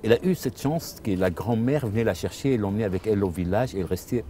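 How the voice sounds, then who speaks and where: Low deep-voiced older man, storyteller. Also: quick pace, warm tone, slight background noise. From Lebanon. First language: Arabic. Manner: thoughtful, repeats words while searching. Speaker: storyteller, father. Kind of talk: interview answers. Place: outdoor festival.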